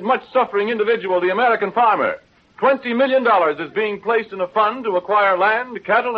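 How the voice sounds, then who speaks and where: An actor playing a grandiloquent, emphatic radio news commentator in a 1930s drama. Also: male voice, high voice. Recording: muffled old 1930s radio recording.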